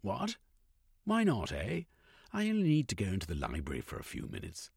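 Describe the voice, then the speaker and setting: A man voicing a hot-tempered gentleman adventurer who talks in an irritable, blustering way. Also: lowish voice, huge pitch swings, brisk, gravelly, slightly rough, no echo, clean studio sound, full character voice. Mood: irritated.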